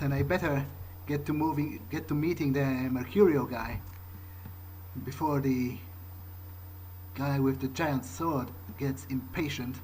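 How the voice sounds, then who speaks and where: A man's voice: medium pitch, darkish tone, slight background noise, close to an everyday voice, rough recording. A man playing a newly turned vampire, thinking aloud in a hesitant, pragmatic, wary way as he role-plays a video game. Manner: hesitant, halting musing. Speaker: gamer role-playing his character. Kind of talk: in-character gameplay thinking aloud.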